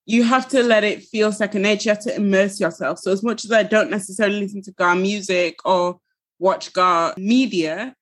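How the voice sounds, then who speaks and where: A young woman with a Yorkshire accent talks freely as an interview guest. Her voice sits at an ordinary middle pitch, and she laughs easily as she chats. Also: steady tone, brisk. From Finland, raised in England. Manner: chatty, laughs often. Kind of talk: casual interview talk.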